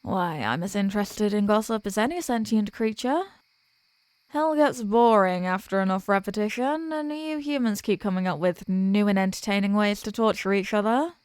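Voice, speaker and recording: Woman acting as a female archdemon, mid-pitched, coy, smug, worldly, teasingly formal, roleplay audio drama, very dry close sound. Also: moderate pace, darkish tone, clear, resonant tone, no echo, natural voice. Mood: teasing, intrigued.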